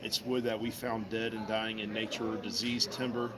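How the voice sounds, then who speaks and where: A man's voice: on the low side, level tone, moderate pace. Folksy woodworker guy chatting at his mall table, busy crowd all around. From Virginia. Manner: folksy, friendly, talks while carving. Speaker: woodworker demonstrating his craft to customers. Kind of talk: casual demonstration chat. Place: vendor table in an indoor mall.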